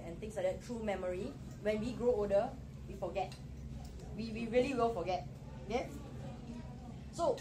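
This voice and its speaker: A woman's voice: mid-range voice, distant mic, background noise. A woman from Singapore with a Singaporean accent, presenting a math-teaching seminar and often checking in with her listeners with "okay?". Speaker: education presenter.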